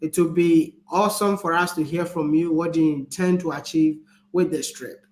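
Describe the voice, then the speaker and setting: A man's voice: fairly high pitch, fairly even intonation, moderate pace, a little mellow. A man hosting a casual online chat, upbeat and laughing, with stammered phrasing. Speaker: host.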